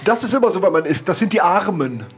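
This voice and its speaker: A man's voice: sits high, animated, quick pace, over loud music. A man playing a pompous, callous old aristocrat in his late seventies. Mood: haughty.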